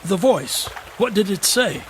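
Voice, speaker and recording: A man's voice: high voice, lively intonation, measured pace, slight background noise. An actor playing an enigmatic traveling stranger, courteous, sly and philosophical as he probes with questions in an audio drama. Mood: probing, knowing. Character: enigmatic, wry, probing traveling stranger, man. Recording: music under the voice. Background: music.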